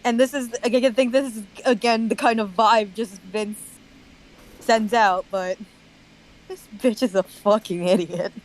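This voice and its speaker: A woman commenting casually on a story with friends, blunt, sardonic and quick to swear. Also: a little high, fairly even intonation, poor audio. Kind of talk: casual chat mocking a story.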